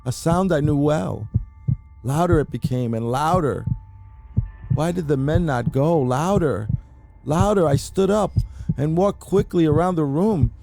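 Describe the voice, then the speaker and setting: A man giving a theatrical, deliberate first-person dramatic reading of a horror story, as an anxious, mad murderer confessing. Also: fairly high pitch, gravelly, warm tone, slight background noise. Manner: theatrical, deliberate, with emphatic repetitions. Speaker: amateur narrator reading a horror short story.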